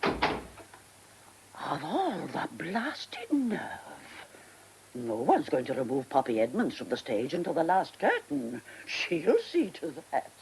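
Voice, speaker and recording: A woman's voice: lowish voice, huge pitch swings, slow, gravelly, a little hiss or hum, exaggerated voice. An actress playing a grand, haughty elderly former stage star and lady of the manor in a theatrical radio drama style. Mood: muttering defiance.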